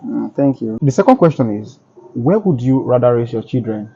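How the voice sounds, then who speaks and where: A man's voice: medium pitch, animated, moderate pace, vocal fry, a crowd in the background. A man hosting a casual street interview, asking questions plainly and prompting his guest. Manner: asks questions plainly, prompts and finishes the other's sentences. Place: outdoors on a street. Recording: dull lo-fi audio.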